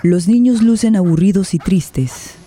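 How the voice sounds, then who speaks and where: A woman's voice: medium pitch, unhurried, warm tone. A woman narrating a scripted radio play in a dramatic style. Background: faint guitar music.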